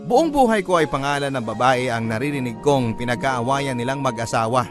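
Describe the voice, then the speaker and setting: A man's voice: mid-range voice, animated, brisk, music in the background. A man reading a scripted first-person story as a radio-style narrator.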